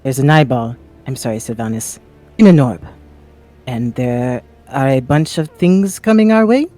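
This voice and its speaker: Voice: mid-range voice, moderate pace, mellow, faint music behind. A player with an androgynous voice, casually roleplaying in a tabletop fantasy game.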